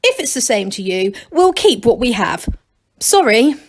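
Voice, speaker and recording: A woman's voice: a little high, very animated, unhurried, exaggerated voice. A woman voicing a polite, patient and firm male gnome leader in a storybook.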